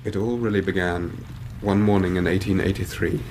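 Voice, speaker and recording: Radio drama casting: male actor as sincere, courteous English gentleman, measured literary delivery, fairly low pitch, light background noise. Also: steady tone, close to an everyday voice, poor audio. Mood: reflective. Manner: formal, measured, earnest. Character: earnest, well-spoken young English gentleman telling his story. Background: storm wind ambience.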